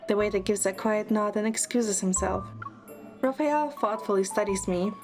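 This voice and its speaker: A woman playing a quick-witted young heroine, narrating an audio drama in the first person. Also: mid-range voice, over music, lightly acted.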